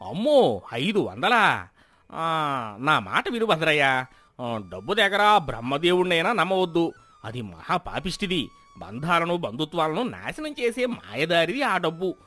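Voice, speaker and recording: A man voicing a scheming, falsely pious village moneylender in a loud, theatrical way for a moral story. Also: a little high, very animated, a little slow, dry recording, faint music behind, full character voice. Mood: feigned humility. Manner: loud, theatrical scolding and protesting. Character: sanctimonious, scheming male village moneylender feigning piety.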